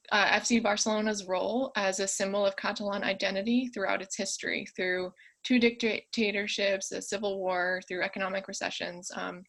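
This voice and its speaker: Young female student from New York, mid-pitched, organized with some filler starts. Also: fairly bright tone. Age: young adult. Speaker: university student.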